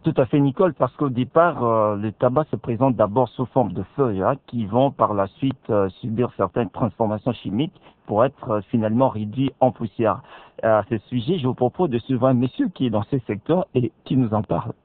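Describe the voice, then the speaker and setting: A man co-presenting a radio magazine, measured and agreeable. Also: medium pitch, no room sound. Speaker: radio co-host. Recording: dry narrow phone-like band.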